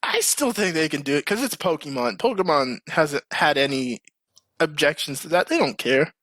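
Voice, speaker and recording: A man hosts a casual podcast in a fairly high voice with animated intonation. He talks in an informal, slangy way. The recording is dry and close, with no room sound. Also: normal speed, no echo. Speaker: podcast host. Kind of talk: casual chat.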